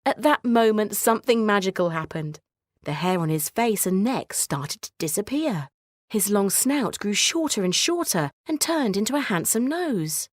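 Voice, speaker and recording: A woman storyteller giving a measured, expressive scripted narration of a children's bedtime story. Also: medium pitch, no echo, studio quality.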